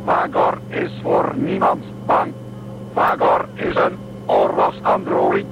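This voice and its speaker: A man playing a cold, fearless war android with a gravelly, mechanical, processed voice in a radio drama. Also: lo-fi sound, full character voice. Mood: cold, defiant. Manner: slow, flat, third-person declarations. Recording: very noisy low-grade radio drama recording.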